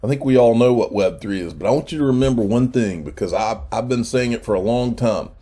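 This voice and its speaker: A man's voice: medium pitch, fairly quick, dark tone. Congested man hosting a crypto channel. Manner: rambling, chatty, restarts often. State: congested with a cold. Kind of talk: casual commentary.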